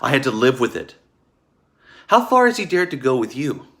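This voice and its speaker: An actor playing a bitter, wronged husband in a theatrical table read, speaking in an accusatory, ominous and emphatic way. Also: male voice, medium pitch, big pitch swings, normal speed, a bit bright. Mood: accusing. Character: bitter, wronged husband warning about a sinister doctor.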